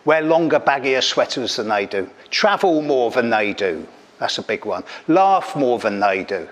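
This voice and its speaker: A man's voice: mid-range voice, fairly bright tone. A middle-aged man from England performing a caustic, teasing stand-up routine on a stage, speaking into a handheld mic. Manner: caustic, teasing, punchy delivery. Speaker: stand-up astrologer. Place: stage of an event hall.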